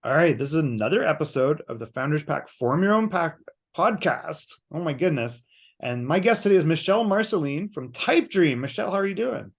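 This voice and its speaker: Male podcast host, fairly high-pitched and gravelly, chatty and affirming. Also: animated, a little fast. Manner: chatty, affirming, laughs easily. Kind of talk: casual interview hosting.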